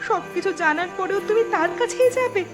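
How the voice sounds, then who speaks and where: A woman's voice: extremely high pitch, fairly quick. A woman voicing an anxious, dramatic young woman in a horror cartoon, with music behind her. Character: frightened young woman haunted by a ghost, and her sister.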